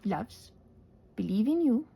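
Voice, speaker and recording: A woman hosting a casual, rambling craft tutorial in a halting, chatty way with playful, self-deprecating asides. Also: a little high, big pitch swings, gravelly, breathy edge, lo-fi sound.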